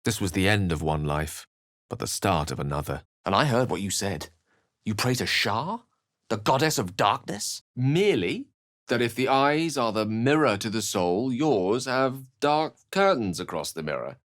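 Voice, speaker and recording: A man playing an eloquent, courteous wizard in a video game, articulate and literary in his measured delivery. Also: lowish voice, sing-song, a bit bright, no echo, clean studio sound. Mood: wry, courteous. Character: eloquent, courteous, bookish male human wizard, a wry adventurer. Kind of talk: video game character lines and narration.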